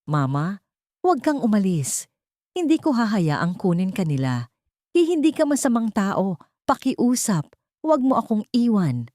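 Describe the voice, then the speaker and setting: A woman's voice: medium pitch, big pitch swings, unhurried, long pauses, warm tone, dry recording, studio quality, voice pushed up. A woman voicing a frightened five-year-old girl pleading tearfully in an audio drama story.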